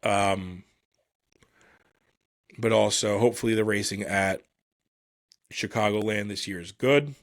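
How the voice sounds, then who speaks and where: A man hosting a solo podcast, casually commenting on motorsport in a halting, think-aloud, self-deprecating way. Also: on the low side, dry recording, studio quality. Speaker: podcast host.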